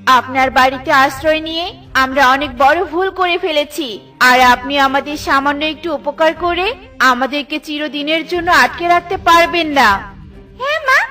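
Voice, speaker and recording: A woman voicing an indignant young girl character, squeaky and urgent, in a children's cartoon. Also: normal speed, bright, forceful tone, faint music behind.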